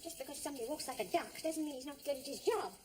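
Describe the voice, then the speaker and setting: An actress playing a naive, well-meaning housewife who talks meekly and apologetically. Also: female voice, high voice, rapid-fire, natural voice. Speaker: neighbour, wife. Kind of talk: sitcom acting. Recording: noisy broadcast sound.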